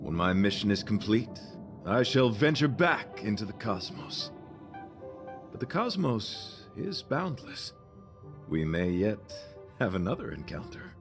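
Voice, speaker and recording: A man's voice: fairly low pitch, sing-song, unhurried. A man voicing a noble, devout young knight in a video game, speaking in formal, flowery, courtly phrases over music. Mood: gallant, resolute. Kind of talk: theatrical video game voice acting.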